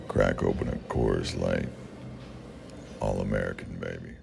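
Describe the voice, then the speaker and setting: A man voicing a beer-drinking all-American male auto mechanic, speaking in a raspy, very deep voice with a slow drawl. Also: steady tone, music in the background, heavily acted. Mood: swaggering. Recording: noisy background.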